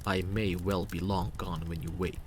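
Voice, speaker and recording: A man voicing a reserved, muscular man near fifty who speaks in a dry, measured, slightly formal way for a story game. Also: deep voice, little pitch movement, a little mellow, a little hiss or hum, close to an everyday voice. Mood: reserved, courteous.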